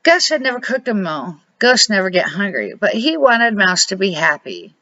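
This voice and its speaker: A middle-aged or older woman, a grandmother reading a children's storybook aloud in a deliberate, expressive amateur way. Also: mid-range voice. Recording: dull lo-fi home recording.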